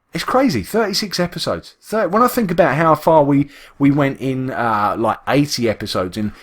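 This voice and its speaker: A man with an East London accent, chatting casually and rambling with lots of restarts while he plays a game. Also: medium pitch. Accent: East London English. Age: adult, works full time. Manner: rambling, chatty, with frequent restarts and self-interruptions. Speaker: Minecraft YouTuber. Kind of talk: casual gameplay commentary.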